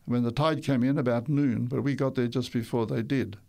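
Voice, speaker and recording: An older man recounts his life in a radio interview, speaking at a middling pitch. He rambles a little, restarts and corrects himself, and chuckles now and then. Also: fairly even intonation, brisk, vocal fry, mellow. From Tonga. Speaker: interview guest.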